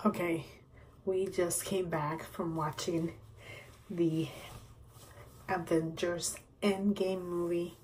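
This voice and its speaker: Woman in her forties doing a casual vlog, lowish mellow voice, pauses a lot, some room noise. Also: little pitch movement, poor audio. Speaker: vlogger, host. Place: small rooms at home, indoors. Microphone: phone at arm's length. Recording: noticeable background room noise.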